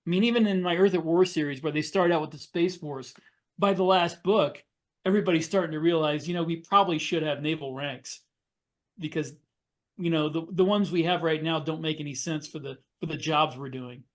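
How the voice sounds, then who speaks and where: A man with a fairly high voice takes part in a casual panel discussion. He lays out his points in a measured, explanatory way. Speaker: podcast panelist and science fiction author.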